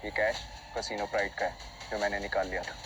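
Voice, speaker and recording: A man plays a steady young challenger who speaks low and evenly with quiet resolve. His voice stays fairly deep and controlled. Clear background noise sits behind him. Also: fast, close to an everyday voice. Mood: resolute. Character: calm determined young male challenger.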